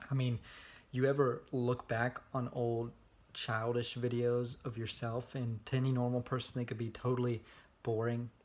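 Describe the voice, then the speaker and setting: A young man talking casually into his phone for a personal vlog, rambling in a monotone with lots of fillers. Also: mid-range voice, normal speed. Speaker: vlogger. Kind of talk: casual self-recorded vlog. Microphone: phone held at arm's length.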